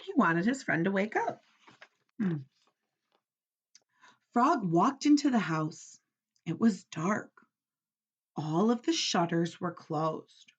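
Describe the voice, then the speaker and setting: A woman teacher reading a storybook aloud to children, expressive and instructive, pausing to ask listeners questions. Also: on the low side, breathy edge, muffled recording. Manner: expressive, instructive, checking understanding.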